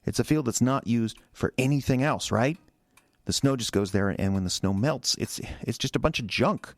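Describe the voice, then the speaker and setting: An American man acting in a radio drama as an anxious, defensive husband who speaks haltingly and repeats his words. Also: mid-range voice, no room sound, close to an everyday voice. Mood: anxious, rambling.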